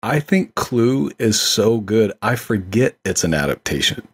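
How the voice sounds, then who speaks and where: Husky low-voiced man, eager and agreeable. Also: big pitch swings, a little slow. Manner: enthusiastic, agreeable. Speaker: podcast co-host. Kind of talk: casual chat.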